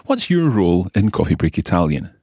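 A low-voiced man presents a language podcast with bouncy, animated pitch. His voice has a slight husk, and it is recorded close and dry. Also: fairly quick, husky, no echo. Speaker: language teacher and podcast host. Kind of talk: podcast lesson intro.

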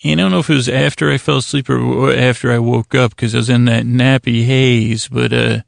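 A man hosting a bedtime podcast in a meandering, halting monologue, often interrupting himself and drifting off on tangents, with a creaky, gravelly voice. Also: medium pitch, steady tone, dry recording, studio quality. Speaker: podcast host telling bedtime stories. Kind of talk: rambling sleep-podcast monologue.

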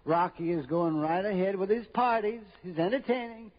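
A man's voice: sits high, normal speed, muffled recording. A man playing a fretful, fussy, wealthy older man who dithers, stammers, repeats himself and scolds, in old-time radio drama style. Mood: anxious, flustered.

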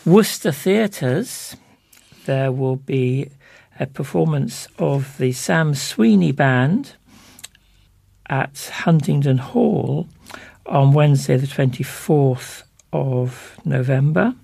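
A man presenting local information, reading out listings and phone numbers in measured, deliberate short phrases. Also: mid-range voice, vocal fry, mellow, breathy edge, dry recording.

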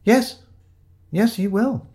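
A man's voice: medium pitch, lively intonation, normal speed, lightly acted. A man voicing a curt, exasperated female police inspector in a story, with a dry, firm manner.

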